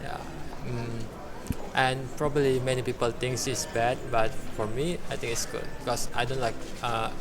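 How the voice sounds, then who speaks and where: A man answering a street interview haltingly, with many fillers as he searches for words. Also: medium pitch, flat delivery, music in the background. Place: outdoors in a city. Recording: outdoors with clear street noise.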